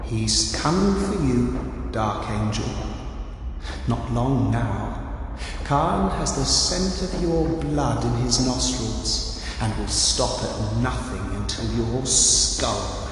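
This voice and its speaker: A man's voice: mid-range voice, a little hiss or hum. A man playing a sinister demon in a dead soldier's body, mocking and wordy, in an audio drama. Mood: menacing. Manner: mocking, verbose, savouring slow threats. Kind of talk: audio drama villain acting.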